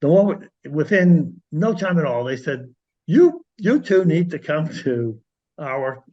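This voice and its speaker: An older American man giving a reflective personal testimony in a halting, measured way. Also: on the high side, very animated, mellow, dull audio. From United States. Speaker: congregation member.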